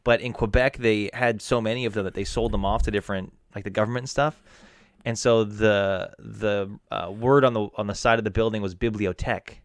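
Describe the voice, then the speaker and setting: A man's voice: fairly low pitch, steady tone, brisk, smooth, dry recording. A man co-hosting a casual podcast while feeling sick, telling rambling, profane, self-mocking stories. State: ill, under the weather. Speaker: podcast co-host. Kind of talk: casual chat.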